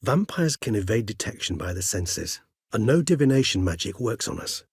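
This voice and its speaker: An actor voicing an erudite, gentle, world-weary old vampire scholar in a video game, speaking in measured, courtly, bookish phrases. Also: male voice, fairly low pitch, sing-song, slightly rough, no room sound, clean studio sound, full character voice. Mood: measured, pensive. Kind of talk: video game character dialogue.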